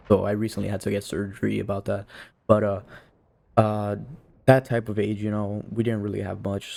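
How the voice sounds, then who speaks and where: A man's voice: lowish voice, gravelly, a little mellow, a little hiss or hum. A nineteen-year-old man from Cuba giving casual, rambling commentary to viewers in an informal way, peppered with "you know" and "like". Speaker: video creator.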